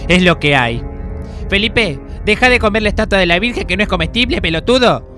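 Man acting scheming Argentine investigator, impatient, emphatic, animated pitch, comic radio drama, background music. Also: brisk. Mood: scolding. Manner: scolding, emphatic. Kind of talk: comedic amateur radio play acting.

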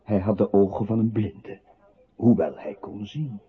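A man performing as narrator of a literary radio drama, telling the story in an expressive, dramatic way. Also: lowish voice, a little slow, heavily acted. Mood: measured. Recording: tinny band-limited audio with clear noise.

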